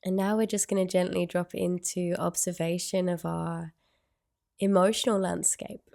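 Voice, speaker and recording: A woman leading a guided meditation in a soothing, unhurried way, with gentle phrasing and long pauses. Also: lowish voice, flat delivery, creaky.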